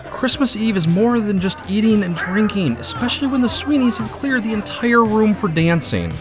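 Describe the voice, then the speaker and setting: A woman's voice: mid-range voice, lively intonation, normal speed, over music, close to an everyday voice. A woman playing a bossy, sociable party guest in an audio drama, with an insistent, coaxing way of talking.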